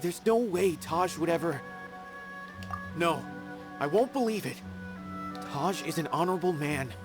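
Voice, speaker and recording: A man's voice: on the high side, expressive, a little slow. A man voicing an earnest, anxious, loyal junior investigator in a video game, speaking expressively. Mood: disbelieving, defensive. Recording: background music.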